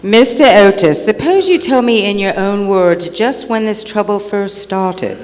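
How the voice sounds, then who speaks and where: A woman's voice: medium pitch, lightly acted. A woman playing a pompous, courteous royal official of Britain with a formal, officious manner in an old-time radio stage play. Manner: formal, officious, measured wording. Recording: echoey hall, faint noise.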